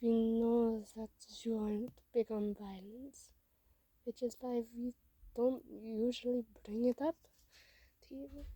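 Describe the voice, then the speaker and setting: A woman's voice: on the high side, little pitch movement, slow, mellow, no room sound, rough recording. A woman voicing a hot-headed but fond male robot warrior in a read-aloud story, blunt and boastful. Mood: softened.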